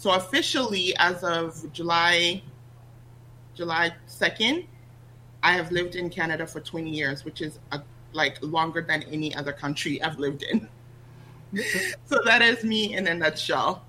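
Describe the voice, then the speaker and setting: A woman from Ghana, a guest talking casually about her life and business, lively and quick to laugh. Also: fairly low pitch, rough recording. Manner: lively, laughs often, restarts sentences. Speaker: guest, online business coach. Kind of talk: casual interview chat. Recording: faint hum under the voice.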